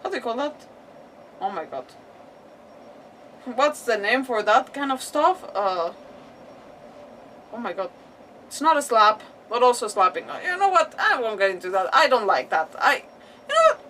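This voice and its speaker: Slightly high, rambling woman streamer, off-mic. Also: big pitch swings, fairly bright tone. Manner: rambling, halting, word-searching. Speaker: live streamer playing a video game. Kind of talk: casual gaming commentary. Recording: distant mic, faint game noise behind. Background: video game audio.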